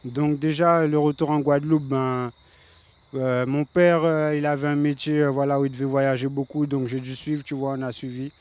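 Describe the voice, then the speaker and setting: Flat-voiced man in his thirties. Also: medium pitch, normal speed, clean tone. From Paris suburbs. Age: 30s. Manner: laid-back, many fillers, flat delivery. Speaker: reggae singer guest. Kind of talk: casual interview.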